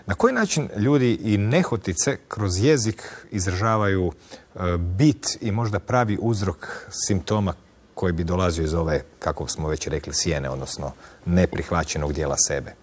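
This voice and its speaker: Low-voiced Croatian man interviewing. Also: lively intonation, a little fast. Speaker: interviewer, show host. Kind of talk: formal interview questioning.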